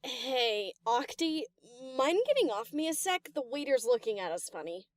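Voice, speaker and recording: Girl doing a chill teasing dj pony for an audio story, high lively voice, dry sound. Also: moderate pace, dry recording. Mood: casual teasing. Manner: casual, slangy, playful. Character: laid-back, teasing young female DJ pony. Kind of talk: acted audio story dialogue.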